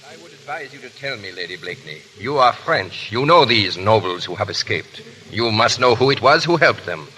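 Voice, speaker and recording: An actor playing a cold, insinuating French revolutionary agent in 1930s radio drama, speaking formally and clipped. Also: male voice, lowish voice, lively intonation, normal speed, crowd noise, close to an everyday voice. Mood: stern, impatient. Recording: noisy old 1930s radio recording.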